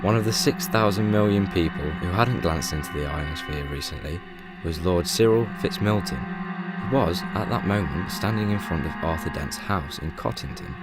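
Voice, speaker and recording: A man narrating a radio play as a measured, dry, encyclopaedic talking book. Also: deep voice. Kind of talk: scripted narration.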